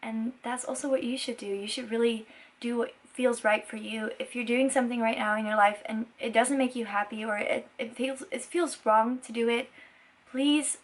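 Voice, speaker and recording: High-voiced young Belgian woman, chatting earnestly. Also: fairly even intonation, normal speed. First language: Dutch. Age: 20s. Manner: earnest, enthusiastic, emphatic. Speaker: vlogger and musician. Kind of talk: casual Q&A chat to viewers.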